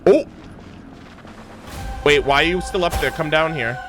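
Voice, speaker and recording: A man doing excited live gameplay commentary for his chat, exclaiming and swearing often in gamer slang. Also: fairly high pitch, measured pace. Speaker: game streamer talking to chat. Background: video game music and combat sounds.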